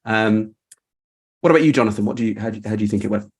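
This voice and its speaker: A man's voice: lowish voice, racing, breathy edge. A man hosting a casual group video call, talking in an informal, rambling and appreciative way. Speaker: host. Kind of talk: casual chat, reflecting on a creative project.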